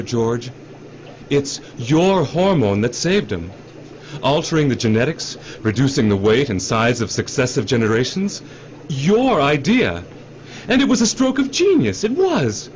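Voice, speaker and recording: An actor in a radio drama playing a glib, defensive middle-aged executive who cajoles and repeats himself like a salesman. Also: a little high. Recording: dull radio drama recording, faint noise.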